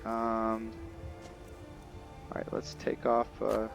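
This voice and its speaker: A man giving casual commentary on his roleplay game, thinking aloud in a halting way. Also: on the low side, completely flat delivery, vocal fry, over music. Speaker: gamer narrating a roleplay playthrough.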